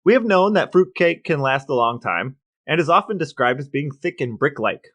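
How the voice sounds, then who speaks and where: A man's voice: a little high, animated, normal speed, fairly bright tone, no echo. A man co-hosting a podcast, relaying researched facts in a dry, matter-of-fact way. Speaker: podcast co-host. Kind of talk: informative podcast talk, reading out researched facts.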